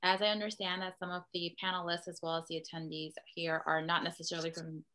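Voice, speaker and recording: A woman's voice: lowish voice, level tone, fast talker, bright, forceful tone, slight background noise. A young woman lawyer from New York City introducing herself carefully on a video call panel.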